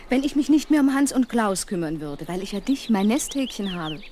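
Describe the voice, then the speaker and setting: An actress playing a gentle, reasoning mother in a seventies radio play, on a noisy old record. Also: mid-range voice, lively intonation, brisk, natural voice. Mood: gently persuading. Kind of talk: 1970s radio play acting.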